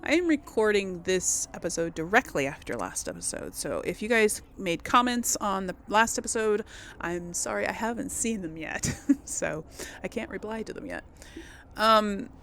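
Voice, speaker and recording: A woman's voice: medium pitch, vocal fry, a bit bright. Female gameplay host, informal think-aloud commentary, mid-range voice, audible background noise. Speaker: gaming video host. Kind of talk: casual game commentary.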